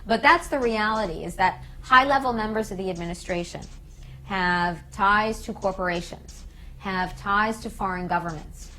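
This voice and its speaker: A woman's voice: mid-range voice, moderate pace, sharp tone, slight background noise, low-quality recording. A woman with an American accent giving emphatic political commentary in an interview, often posing rhetorical questions. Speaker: interviewee, peace activist speaker.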